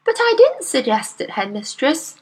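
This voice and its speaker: A woman voicing a gentle young female schoolteacher who pleads earnestly in a high voice. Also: huge pitch swings, normal speed, full character voice. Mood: earnest pleading.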